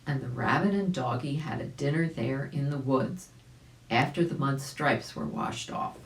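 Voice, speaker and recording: Older woman slowly reading, low voice. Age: older, about 70s. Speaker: volunteer storybook reader. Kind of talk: read-aloud children's story narration. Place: small indoor room at home. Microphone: camera a few feet away. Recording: roomy home recording.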